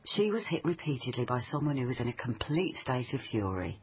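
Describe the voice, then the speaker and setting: A woman's voice: extremely low pitch, sing-song, a little fast. A woman playing a persistent, probing police family liaison officer in a naturalistic radio drama. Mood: insistent. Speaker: actor in a crime drama. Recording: dull radio recording, faint noise.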